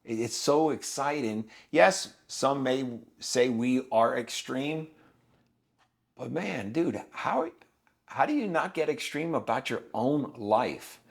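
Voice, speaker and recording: A man's voice: medium pitch, animated, slow, vocal fry. Emphatic blunt man in his fifties. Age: 50s. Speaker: guest, business owner. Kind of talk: podcast conversation.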